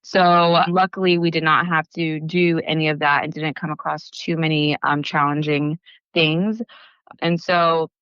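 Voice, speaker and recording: A young woman chatting on an interview podcast, her voice bright and smooth against a dry, slightly dull recording. She talks casually, trails off, restarts, and fills her sentences with "like.". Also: low-pitched, little pitch movement, a little slow, dry recording. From Philippines, raised in California. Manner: chatty, lots of fillers and restarts. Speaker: bakery founder, guest.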